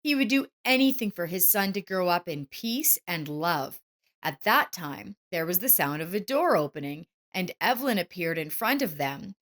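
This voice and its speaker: A woman's voice: fairly low pitch, animated, normal speed, a bit bright. A woman reading a scripted, dramatic story as its narrator.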